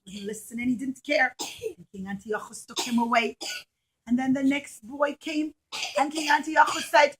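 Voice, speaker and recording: A woman's voice: high-pitched, fairly even intonation, normal speed, slightly rough. A woman telling a story to young children as a puppet show, with simple phrasing and dramatic emphasis, addressing them directly.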